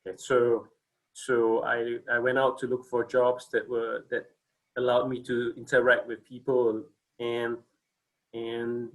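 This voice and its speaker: A man's voice: mid-range voice, monotone, unhurried, rough recording. Singaporean man on a video call. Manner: hesitant, many fillers and restarts. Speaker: regional manager giving a company presentation. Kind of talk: casual presentation on a video call.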